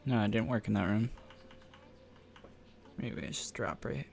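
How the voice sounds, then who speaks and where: Gravelly-voiced young man streaming. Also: on the low side, unhurried, darkish tone, a little hiss or hum. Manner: laid-back, rambling, laughs often. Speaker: game streamer. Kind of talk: casual stream chat reading viewer questions.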